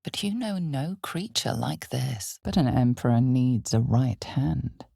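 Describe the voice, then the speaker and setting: A woman voicing a solemn, ominous narrator of a dark fantasy video game, with measured, dramatic storytelling. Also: rumbling low, gravelly, slightly rough, dry recording, studio quality, full character voice. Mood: solemn, intimate. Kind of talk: scripted second-person fantasy game narration.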